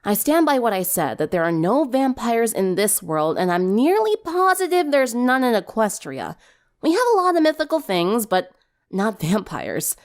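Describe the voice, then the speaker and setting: A woman's voice: on the high side, big pitch swings, normal speed, pitched above the performer's own voice. Woman voicing a defensive, lovestruck teenage girl. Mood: firm, exasperated.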